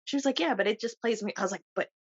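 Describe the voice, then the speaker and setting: A woman's voice: on the high side, racing, a crowd in the background. A woman co-hosting a podcast, chatting casually and laughing often as she tells stories. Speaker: podcast co-host. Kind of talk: casual chat. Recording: dull lo-fi sound.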